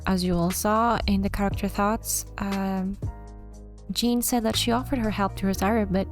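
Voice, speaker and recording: A woman hosting a casual commentary video, talking to viewers in an informal, thinking-aloud way. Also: medium pitch. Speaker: video host giving game character analysis. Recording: music playing behind the voice. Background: background music.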